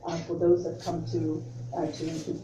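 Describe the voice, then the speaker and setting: A woman's voice: deep voice, monotone, moderate pace. A woman, a bank executive reading a speech at an event, hesitant with many fillers. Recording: distant, echoey, with crowd behind.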